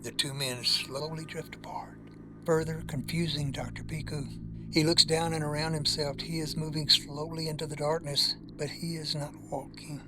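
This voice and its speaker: A man giving scripted narration for an audio drama in a measured, deliberate storytelling manner. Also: on the high side, little pitch movement, music in the background, rough recording.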